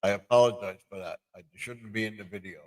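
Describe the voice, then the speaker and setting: Guy w lowish voice, casual livestream host, halting, says stuff over again. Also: steady tone, very noisy. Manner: repetitive, halting.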